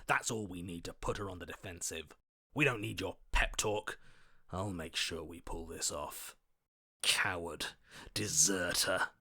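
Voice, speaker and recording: A man playing a stubborn, combative inner voice in a video game, forceful, scornful and commanding. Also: low voice, creaky, no echo, clean studio sound, heavily acted. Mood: angry contempt. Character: stubborn, combative male inner voice itching for a fight. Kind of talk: dramatic video game voice acting.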